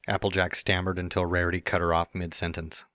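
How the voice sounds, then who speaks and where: Low-voiced man reading a story. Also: level tone, brisk, a bit bright, dry recording, studio quality. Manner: first-person narration with playful smug asides. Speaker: narrator. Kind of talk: fan dramatic reading of a story.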